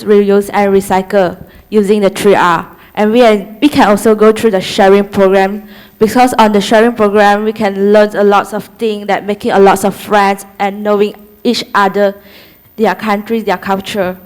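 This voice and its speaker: A young woman from Malaysia giving a prepared student presentation, listing points steadily one by one. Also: mid-range voice. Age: young adult.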